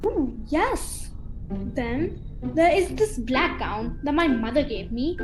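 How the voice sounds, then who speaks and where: A woman acts a gracious, insistent countess of a spooky mansion. The voice is high and slow, formally measured, with guitar music clearly behind it in a student radio play. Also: animated. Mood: pleased.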